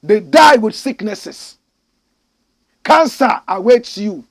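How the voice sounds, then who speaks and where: A man's voice: sits high, lively intonation, slow pace, vocal fry. A man hosting a political commentary show, reading documents aloud and preaching emphatically to his listeners. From Ghana. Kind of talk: impassioned solo political commentary.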